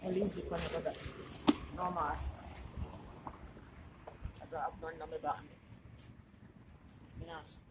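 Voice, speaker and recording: A mother with a fairly low voice talks bossily, pointing out directions and prices while she shops. She is caught on her daughter's phone in a mall. Steady mall noise surrounds her. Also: slow, long pauses. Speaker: vlogger's mother. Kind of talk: casual chat while shopping.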